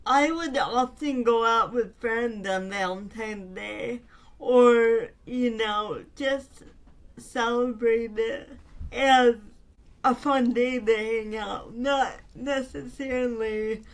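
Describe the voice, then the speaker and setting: A woman co-hosting a podcast in casual chat, earnest and affectionate, her voice a bit raspy from talking. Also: on the high side. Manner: slow, earnest and affectionate, with frequent fillers. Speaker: podcast co-host.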